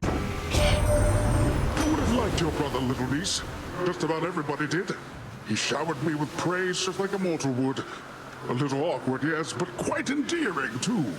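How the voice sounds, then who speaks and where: A man's voice: fairly high pitch, very animated, normal speed, long pauses, heavy background noise. A man playing a jovial, boastful sea god uncle in a video game, with grandiose, playful and theatrical delivery that is goofy yet haughty. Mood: breezy, teasing. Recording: loud game music behind the voice.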